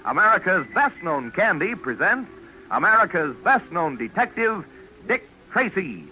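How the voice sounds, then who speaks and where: Animated, high-pitched 1940s radio announcer man. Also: unhurried. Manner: dramatic, emphatic. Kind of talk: dramatic 1940s radio announcing and sponsor promo. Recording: muffled 1940s radio broadcast.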